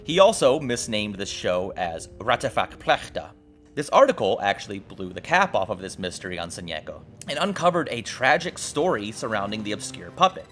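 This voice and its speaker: A man's voice: fairly low pitch, big pitch swings, normal speed, fairly bright tone. A man narrating a video essay as host in a wry, exclamatory, casual style with some swearing. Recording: music behind the voice. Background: background music.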